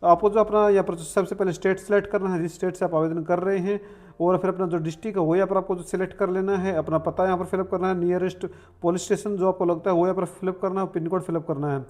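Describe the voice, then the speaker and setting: A man's voice: sits high, steady tone, fast, a little mellow. A man narrating a step-by-step tutorial in an instructional way, with repeated filler phrases. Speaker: online form tutorial presenter.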